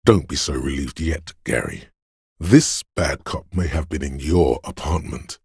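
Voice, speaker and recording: A man voicing a menacing, paranoid inner voice of fear and aggression in a video game, gravelly and husky, ominous and deliberate. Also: very deep voice, no room sound, studio quality, exaggerated voice. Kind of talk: scripted game voice acting, second-person narration.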